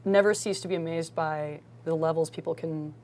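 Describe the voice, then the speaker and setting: A woman's voice: low voice, fairly even intonation, normal speed, darkish tone, a little hiss or hum. A woman with an American accent explaining things casually as an interview guest. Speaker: interview guest, co-director of a local film group. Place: live local TV studio.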